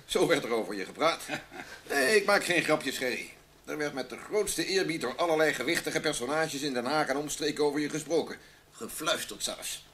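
An actor playing an imposing, jovial business boss in a radio drama, expansive, commanding and ironically flattering. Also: male voice, fairly high pitch, normal speed, slight background noise. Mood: effusive, teasing. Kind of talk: naturalistic Dutch radio drama.

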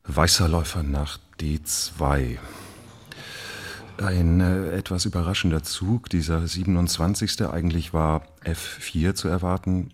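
Husky low-voiced suspenseful match commentator. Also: male voice, fairly even intonation. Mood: tense, suspenseful. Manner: suspenseful, dramatic, with deliberate pauses. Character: male chess match commentator, gripped by suspense. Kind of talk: live radio commentary in a radio drama.

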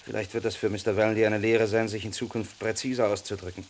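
An actor playing a polite but irritated lawyer in a vintage radio crime play, speaking formally with dry sarcasm. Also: male voice, lowish voice, level tone, fast, a little hiss or hum, lightly acted. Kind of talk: 1950s-80s radio crime drama.

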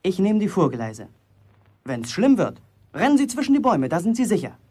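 A man's voice: sits high, wildly swooping pitch, normal speed, a little mellow, slightly rough, exaggerated voice. A young man playing a proud, wary teenage apprentice in a German radio drama, terse and dry. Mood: earnest.

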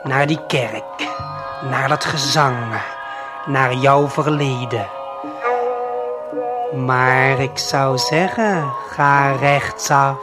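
Slow-paced man telling a suspenseful story over music. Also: medium pitch, fairly even intonation. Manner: deliberate, suspenseful, addressing the listener directly. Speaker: narrator. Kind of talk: slow second-person storytelling for an audio play. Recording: late 1970s vinyl LP production. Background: prominent music.

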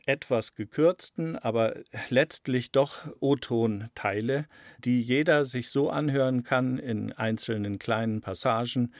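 A man explaining his work in an interview, deliberate, often repeating and rephrasing for emphasis. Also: medium pitch, dry recording, studio quality. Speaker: radio play author interviewed about his work.

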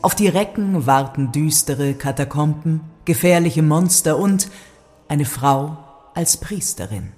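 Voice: medium pitch, animated, a little slow, a little mellow, faint music behind. A narrator telling an audio drama tale in a playful tone, speaking directly to the listeners.

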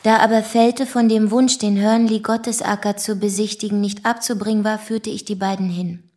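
Woman playing a sober witness, mid-pitched voice. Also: little pitch movement, normal speed, darkish tone, lightly acted. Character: sober young woman recounting events in formal testimony. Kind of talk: radio drama, formal recited testimony.

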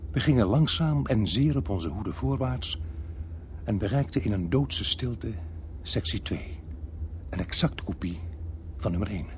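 A man playing a police officer who narrates a crime radio drama in a measured, suspenseful way. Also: lowish voice, animated. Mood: tense, measured. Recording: noisy archived radio drama recording.

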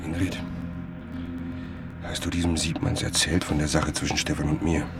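A man's voice: rumbling low, flat delivery, moderate pace, over loud music. An actor playing an obsessive, suspicious and self-important male journalist in a German radio drama. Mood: suspicious, tense.

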